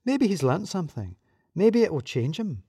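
A man voicing a calm, matter-of-fact female office co-conspirator in an audio story, understated in manner. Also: high-pitched, normal speed, husky, no room sound, clean studio sound, pitched above the performer's own voice, full character voice. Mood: musing.

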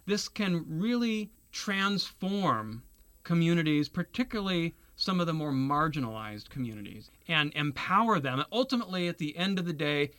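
A man interviewed as a guest on a radio show, explaining his work in an earnest, measured way with small restarts. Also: on the high side, expressive, fairly bright tone. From Taiwan. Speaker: guest, securities lawyer.

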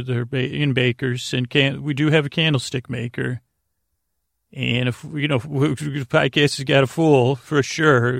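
A man hosting a bedtime sleep podcast, with a creaky voice and a gentle, silly, meandering manner full of hesitations, tangents and pauses. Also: mid-range voice, dry recording, clean studio sound. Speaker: podcast host.

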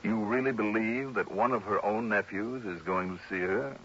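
A skeptical male detective played by a radio actor with a lowish, sharp-edged voice. He questions people in a wry, probing way, as in a classic radio mystery. The sound is dull and vintage, with a faint hiss. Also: moderate pace, lightly acted. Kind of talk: old-time radio detective drama acting. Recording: muffled, hissy vintage radio recording.

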